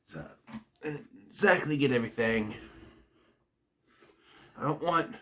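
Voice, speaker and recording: Casting: man host getting over a cold, a little nasal, chatty and self-interrupting, lively pitch, echoey room at home. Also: a little slow, a little hiss or hum. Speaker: host of a wrestling review channel. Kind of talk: casual rambling vlog intro.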